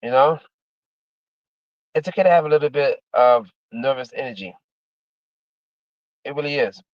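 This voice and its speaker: A man's voice: medium pitch, normal speed, no room sound, muffled recording. A man in his fifties from Miami, chatting casually to his livestream audience in a rambling, repetitive way, full of "man" and "you know". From Miami, Florida, USA. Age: 50s. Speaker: livestreamer, former MMA fighter.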